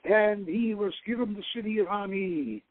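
A man's voice: high voice, moderate pace, no echo. A man giving a dramatic reading of an adventure novel as a narrator, over a thin phone-like radio line. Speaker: host reading his adventure novel aloud. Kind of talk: dramatic audiobook-style reading.

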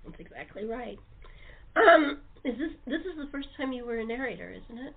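A woman's voice: on the high side, expressive, normal speed, vocal fry, husky. A woman hosting a casual panel interview, talking informally with her guests. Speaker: talk show host. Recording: phone-like, narrow-band audio.

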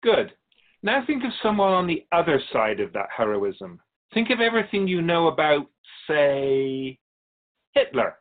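A man playing a patient, wise, otherworldly Keeper of Souls who teaches in a measured, philosophical way in a radio drama. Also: a little high, very animated, phone-quality sound. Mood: patient, instructive. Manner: measured, philosophical, gently instructive. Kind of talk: scripted radio drama dialogue.

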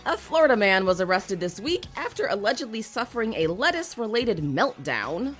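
A woman's voice: mid-range voice, big pitch swings, fairly quick, a bit bright, soft background music. A woman co-hosting a casual podcast, swearing, laughing and reading out news stories. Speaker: podcast co-host.

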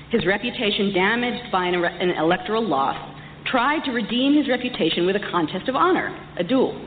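Female lecturer, articulate and wry, mid-pitched voice, muffled recording in a reverberant hall. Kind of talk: prepared history lecture delivered to an audience. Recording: echoey hall, muffled, some background noise.

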